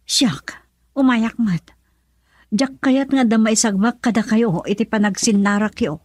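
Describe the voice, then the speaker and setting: A woman's voice: a little high, a little slow, darkish tone, breathy edge, no echo, clean studio sound. A woman playing an anxious wife who mediates in an Ilocano radio drama. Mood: anxious, pleading. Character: anxious wife mediating between father and husband.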